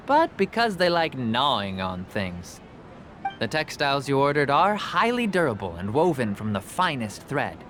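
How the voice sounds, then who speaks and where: A man's voice: fairly high pitch, a bit bright, a little hiss or hum. An actor playing a jovial, persuasive, talkative merchant with a theatrical salesman's manner in an English game dub. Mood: salesmanlike, jovial. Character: glib, jovial travelling merchant man, a persuasive storyteller.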